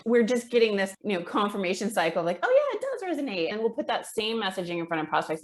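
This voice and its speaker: A woman in her thirties with a low-pitched voice talks as an interview guest. She explains her work conversationally, dropping in small check-ins, from a video call on earbuds. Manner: explanatory, checks in with "right" and "you know". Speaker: interview guest, marketing manager. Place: indoor room at home, video call. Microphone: wired earbuds on a video call.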